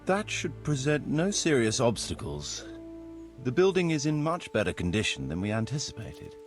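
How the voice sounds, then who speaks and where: An actor playing a formal, courtly medieval land surveyor in a video game, speaking precisely and deferentially. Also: male voice, mid-range voice, big pitch swings, moderate pace, soft background music, close to an everyday voice. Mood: formal, assured. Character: formal, courtly medieval locator Marius, eager planner and surveyor. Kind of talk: video game cutscene acting.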